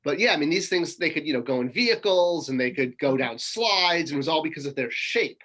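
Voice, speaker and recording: A man's voice: fairly high pitch, lively intonation, brisk, a bit bright. A middle-aged man from Connecticut, a toy marketer, talking casually as an interview guest on a video call from a home room full of toys, rambling with lots of fillers and restarts. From Connecticut, USA.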